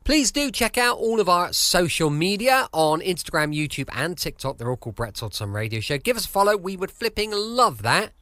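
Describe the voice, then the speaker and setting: A man's voice: sits high, big pitch swings, brisk, hardly any pauses, a bit bright, no room sound. A British man hosting a podcast, chatting casually and informally in a sing-song way. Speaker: podcast host.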